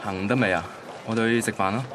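A man's voice: lowish voice, steady tone, quick pace, noisy background, natural voice. An actor playing a heartbroken young man recounting his first love in a radio drama, wistful and self-deprecating, with a Hong Kong Cantonese accent. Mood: wistful, sad. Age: young adult. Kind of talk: radio drama narration and dialogue.

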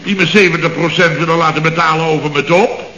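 An actor playing a pompous, boastful building-firm boss in a 1960s radio comedy, rambling and self-satisfied. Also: male voice, fairly high pitch, fairly even intonation, fast talker, a crowd in the background, lightly acted. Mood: boastful blustering. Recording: dull, echoey old radio studio recording.

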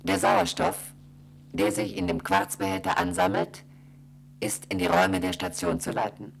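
Gravelly monotone station computer. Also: male voice, low-pitched, moderate pace, a little hiss or hum, heavily acted. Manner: dry instructional delivery, repeats instructions. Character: station computer reading emergency instructions. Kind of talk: radio play.

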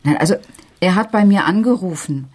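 An actress in her forties playing an anxious teacher and mother in a naturalistic radio crime drama, with a hesitant, emotional delivery and a slightly husky voice. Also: lowish voice, expressive, brisk, a little mellow. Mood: uneasy, hesitant. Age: 40s. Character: anxious forty-year-old female teacher and single mother.

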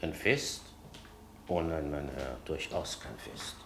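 An actor in a radio play as a courteous, secretive innkeeper, formal and obliging with theatrical intonation. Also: male voice, very deep voice, slow, fairly bright tone, exaggerated voice. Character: courteous, secretive male café landlord. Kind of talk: German radio play acting. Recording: echoey room, faint background noise.

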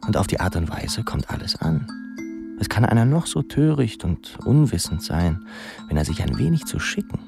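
An actor playing a wry, dreamy, self-mocking young pupil in a radio drama monologue, musing and halting in a theatrical way. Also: male voice, lowish voice, big pitch swings, creaky. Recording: music behind the voice.

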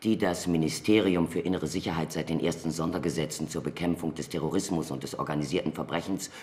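An actor playing a cold, methodical official of a computer justice system in a German radio drama, speaking in a measured, insistent way. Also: male voice, rumbling low, fairly even intonation, darkish tone. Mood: clinical, explaining.

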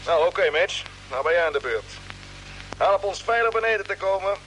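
Spaceship captain in old radio play, brisk and in charge, high voice, bit of hiss. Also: male voice, animated, a bit bright, rough recording. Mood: steady, focused. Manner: brisk, authoritative. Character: calm commanding captain of a spaceship. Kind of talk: radio drama acting. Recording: hissy old radio recording.

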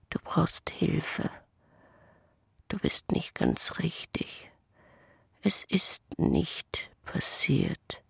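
A haunted twin brother confiding in a radio drama monologue. His voice is badly husked and full of creak, often sinking toward a whisper. He is hushed, halting and doubtful. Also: fairly high pitch, no echo, exaggerated voice. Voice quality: husky, breathy, creaky.

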